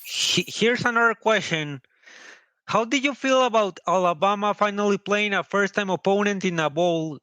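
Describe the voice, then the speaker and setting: A man's voice: high voice, normal speed, sharp tone, clear, resonant tone, no echo. A man with a Costa Rican accent, calling in as a listener and casually asking questions. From Costa Rica. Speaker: audience caller.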